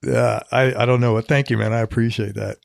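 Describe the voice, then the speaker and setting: A man in his fifties hosting a casual interview, relaxed and loose-tongued, with a voice that sounds younger than his age. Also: mid-range voice, a little fast, vocal fry, dry recording, clean studio sound. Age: 50s. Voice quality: youthful-sounding voice. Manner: relaxed, swears freely, calls guest "man" and "bro". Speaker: interview host.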